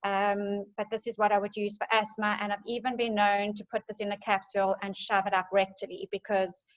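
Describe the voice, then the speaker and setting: A woman talks through her topic in a chatty, enthusiastic manner, full of little ums. Her pitch stays fairly level and even. The sound is dull and lo-fi, like an online call. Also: brisk. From South Africa. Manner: chatty, enthusiastic, many fillers. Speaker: essential oils presenter. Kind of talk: casual group presentation.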